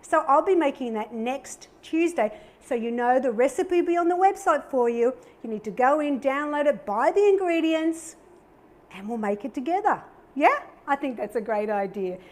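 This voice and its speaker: A middle-aged woman with an Australian accent, hosting a live cooking demonstration. Her voice sits high and she talks in a bubbly, chatty, upbeat way, full of little exclamations as she cooks. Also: lively intonation, normal speed, darkish tone. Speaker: cooking show host.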